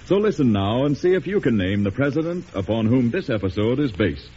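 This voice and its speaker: An American man narrating as a radio announcer in a dramatic, measured, theatrical scripted style. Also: mid-range voice, very animated. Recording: dull, noisy old radio recording.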